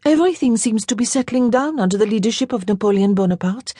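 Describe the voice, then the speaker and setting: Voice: medium pitch, expressive, fast talker, dark tone, dry recording, studio quality, voice pushed up, lightly acted. A narrator voicing a playful, teasing young French girl in an audiobook, in a lilting, coquettish manner. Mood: wistful. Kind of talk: audiobook character voice.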